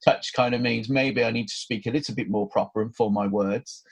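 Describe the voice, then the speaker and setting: A man's voice: medium pitch, fairly even intonation, fairly quick, creaky, slightly rough. A man from Birmingham with a Brummie accent, co-hosting a podcast in a chatty, laid-back way with plenty of fillers. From Birmingham, England. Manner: chatty, laughs easily, hesitant with fillers. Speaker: podcast co-host. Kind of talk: casual podcast chat.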